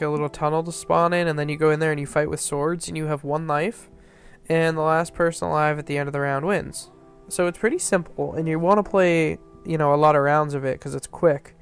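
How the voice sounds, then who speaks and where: A man with a stuffy, nasal voice giving casual, rambling commentary over gameplay, full of fillers and restarts. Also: fairly high pitch. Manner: rambling, filler-heavy, self-correcting. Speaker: map reviewer. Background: faint background music.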